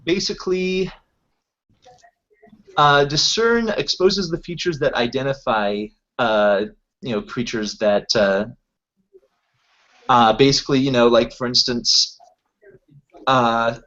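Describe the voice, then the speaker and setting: A man's voice: medium pitch, a bit bright. A man playing a tabletop roleplaying game, explaining things in casual, rambling chat. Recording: dull lo-fi online voice call.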